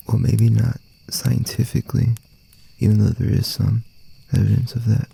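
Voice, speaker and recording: Man playing a slow, husky, whispery boyfriend. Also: fairly even intonation, heavily acted. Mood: musing. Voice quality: soft, breathy, husky, gravelly low murmur. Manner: unhurried, rambling, reassuring, with pauses. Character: gentle, teasing young boyfriend comforting a scared partner. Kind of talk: intimate ASMR boyfriend roleplay.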